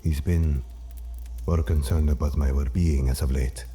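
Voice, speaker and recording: An actor playing a gentle, patient, good-humoured old Pope in an audio drama. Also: male voice, a bass voice, steady tone, measured pace. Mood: calm, curious. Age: older.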